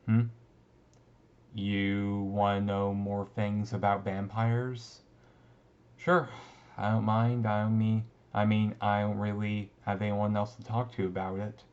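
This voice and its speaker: Casting: male actor as brooding yet easygoing teen vampire for ASMR roleplay; low, slow, halting delivery, slightly muffled recording. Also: completely flat delivery, darkish tone. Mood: casual, amused. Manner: halting, conversational, mildly resigned. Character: brooding, easygoing male teenage vampire classmate, secretly thirty-seven. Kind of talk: ASMR roleplay, casual intimate talk.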